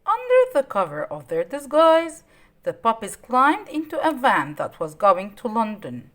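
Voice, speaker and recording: A woman reading a children's story aloud in an expressive, dramatic way. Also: on the high side. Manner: expressive, dramatic, deliberate phrasing.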